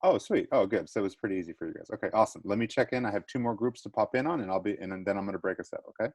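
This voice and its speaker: A man's voice: lowish voice, racing, darkish tone, no room sound. A man teacher leading a small online class discussion, encouraging students and checking in often. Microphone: video call.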